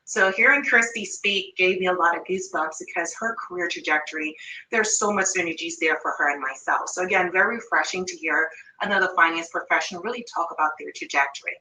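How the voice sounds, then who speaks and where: A woman's voice: lowish voice, fast, sharp tone, roomy sound, distant mic, poor audio. A woman in her thirties from Jamaica with a light Jamaican accent, giving an upbeat online workshop presentation on pay. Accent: Jamaican, with Toronto and New York influence. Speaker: workshop presenter, finance manager.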